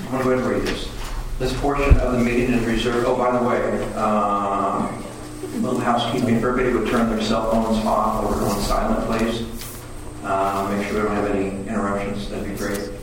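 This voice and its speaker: A man chairing a public commission meeting, relaxed and procedural, with many ums and uhs. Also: medium pitch, normal speed. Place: council chamber. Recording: echoey room, distant mic, noisy.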